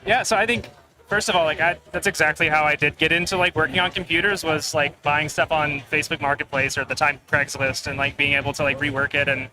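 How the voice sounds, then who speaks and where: A young man answering interview questions in a relaxed way, often filling with "like". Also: on the high side, fast talker, poor audio. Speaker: guest creator. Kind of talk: casual live interview. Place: busy expo floor. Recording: live expo crowd behind.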